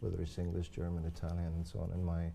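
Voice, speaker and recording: Deep gravelly older man, Croatian native speaker. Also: completely flat delivery, quick pace, warm tone, a little hiss or hum. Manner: thoughtful, many restarts and agreeing yeahs. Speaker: horn player and teacher. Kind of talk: live online interview.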